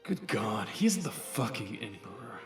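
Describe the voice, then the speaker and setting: A man's voice: fairly low pitch, sing-song, unhurried. A man acting an arrogant, ambitious doctor's scornful inner monologue in a radio drama. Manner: haughty, scornful muttering to himself. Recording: roomy echo, music underneath.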